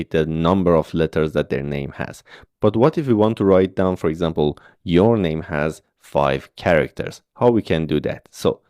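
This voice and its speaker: A man's voice: low voice, darkish tone, clear, resonant tone, no room sound, studio quality. A man, walking through coding exercises step by step and checking in with "okay?" and "right?". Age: 30s. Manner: patient step-by-step explaining with frequent "okay?" checks. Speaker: programming instructor. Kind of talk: screen-recorded coding tutorial.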